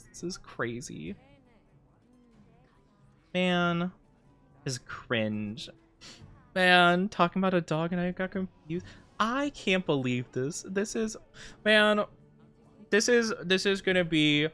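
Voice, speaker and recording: A man's voice: fairly high pitch, bright, forceful tone, clear, resonant tone. A man streaming live, chatting casually with viewers in a playful, mock-offended way, laughing often, his voice wearing thin. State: losing his voice. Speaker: VTuber streamer.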